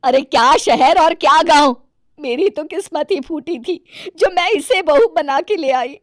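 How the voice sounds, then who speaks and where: A woman voicing a middle-aged mother-in-law in an animated moral story, expressive and theatrical. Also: high-pitched, unhurried, no room sound, clean studio sound. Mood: indignant. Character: middle-aged city mother-in-law, affectionate but easily exasperated.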